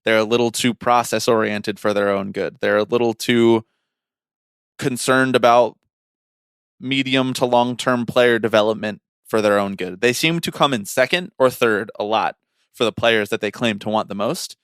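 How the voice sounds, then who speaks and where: A man's voice: mid-range voice, normal speed, a bit bright, clear, resonant tone, no echo, clean studio sound. A man in his twenties co-hosting a casual baseball podcast, laying out analysis in long, reasoned runs with repeated phrasing. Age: 20s. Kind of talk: casual sports talk, analysis.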